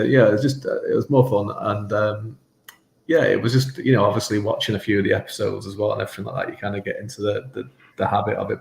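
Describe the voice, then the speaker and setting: A man's voice: lowish voice, gravelly, darkish tone, echoey room. A man from Manchester with a Mancunian accent, a guest chatting casually in a rambling, self-deprecating way and laughing often. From England, Manchester. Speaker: game show contestant, guest. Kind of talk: casual interview chat.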